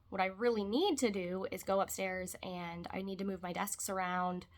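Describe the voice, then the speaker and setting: A young woman talks casually from her couch at home, her voice stuffed up with congestion. She rambles and trails off into softer asides, at an ordinary mid pitch. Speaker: vlogger. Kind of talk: casual chat.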